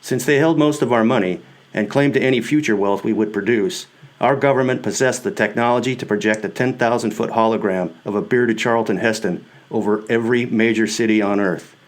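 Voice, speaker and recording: A man's voice: on the low side, fairly quick. A man reading his own book as scripted audiobook narration, in the first person.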